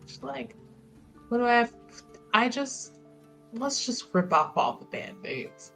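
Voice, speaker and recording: A woman with a bright, forward voice narrates her character in a tabletop roleplaying game. She takes her time and rambles informally, swearing freely. Quiet music runs behind her. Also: a little high, lively intonation, takes it slowly, vocal fry. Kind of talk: casual improvised character narration.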